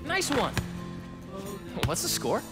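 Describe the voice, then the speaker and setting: A man's voice: extremely high pitch, huge pitch swings, slow pace, full character voice. A man playing an upbeat young American in a video game, a loyal old friend who speaks casually and naturally. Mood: easygoing. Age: young adult. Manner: casual, conversational. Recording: music behind dialogue.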